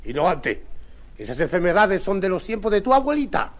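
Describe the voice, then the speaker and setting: An actor playing an excitable, grumbling, easily frightened comic crewman with blustering exclamations, in a 1950s radio serial. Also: high voice, a little fast. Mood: mocking. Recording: noisy vintage 1950s radio recording.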